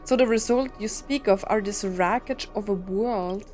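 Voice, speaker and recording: A woman's voice: medium pitch, moderate pace, darkish tone. Woman musing aloud over game music. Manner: thinks aloud, questioning. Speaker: gaming streamer reacting to a story quest. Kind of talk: casual reaction commentary.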